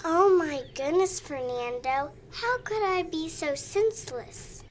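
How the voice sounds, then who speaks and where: Very high-voiced, earnest little girl. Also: animated, a little hiss or hum, heavily acted. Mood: remorseful. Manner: earnest, childlike, deliberate delivery. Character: pious, earnest little girl, about seven. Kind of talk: audio drama acting.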